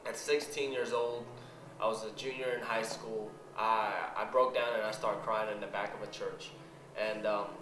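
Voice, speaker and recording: A young man from the Philippines giving an earnest personal testimony, halting and repeating words as he goes. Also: medium pitch, a bit bright, slight background noise. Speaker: church member telling his story. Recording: roomy sound with music behind.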